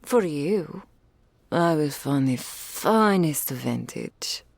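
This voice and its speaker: Woman playing a low, creaky, doting dragoness. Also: lively intonation, no room sound, full character voice. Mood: doting, delighted. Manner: archaic, ornate, unhurried, affectionate phrasing. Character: doting, regal female dragon in towering human form. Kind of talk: ASMR roleplay, intimate scripted monologue addressed to listener.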